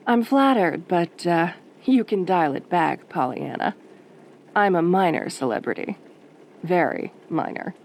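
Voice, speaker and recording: A woman playing a sultry, teasing late-night radio DJ in a soft-spoken roleplay, with a velvety voice and a flirty, wry drawl. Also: fairly low pitch, animated, slow pace, gravelly, pauses often, a little hiss or hum, heavily acted. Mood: amused, flirty.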